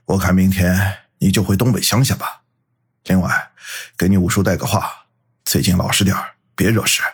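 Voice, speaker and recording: A man voicing stern, world-weary middle-aged gangsters in an audiobook, with a gruff delivery. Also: deep voice, normal speed, heavily acted. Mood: stern, dismissive. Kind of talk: audiobook character acting.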